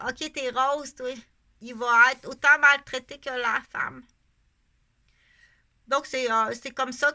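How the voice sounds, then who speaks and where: This is a middle-aged woman with a Québécois accent, speaking unscripted to her viewers. Her voice sits high and has a sharp, bright edge. She rambles from point to point and repeats phrases for emphasis. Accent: Québécois French. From Canada. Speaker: vlogger. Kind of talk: casual unscripted monologue to camera.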